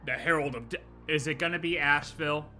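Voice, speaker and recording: Bright, excitable young male streamer. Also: fairly high pitch, fast, soft background music. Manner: excitable, slangy, jokey. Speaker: game streamer. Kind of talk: casual live reaction commentary.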